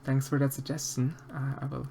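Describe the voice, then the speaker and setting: A man's voice: mid-range voice, flat delivery, a little fast, vocal fry, slight background noise. A man from Austria with an Austrian German accent, chatting hesitantly with viewers of his live stream, full of fillers and restarts. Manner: hesitant, many fillers and restarts, soft-spoken. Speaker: streamer answering chat while sculpting. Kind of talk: casual live-stream chat.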